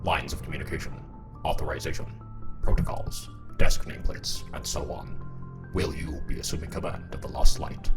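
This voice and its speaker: A man's voice: rumbling low, huge pitch swings, normal speed, over music, exaggerated voice. A man voicing an officious, by-the-book robot commander in a fan dub, clipped and formal. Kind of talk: scripted fan dub of a comic.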